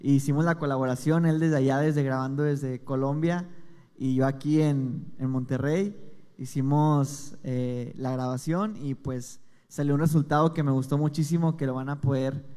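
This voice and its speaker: A man with a Mexican accent, a guest singer answering interview questions in a soft-spoken, measured way with many restarts. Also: medium pitch, steady tone, smooth, long reverb tail.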